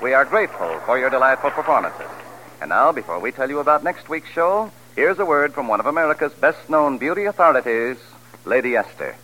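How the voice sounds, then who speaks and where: A man's voice: mid-range voice, lively intonation, a little fast, sharp tone, rough recording. A man announcing a 1940s radio show in a formal, polished delivery. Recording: vintage 1940s radio broadcast.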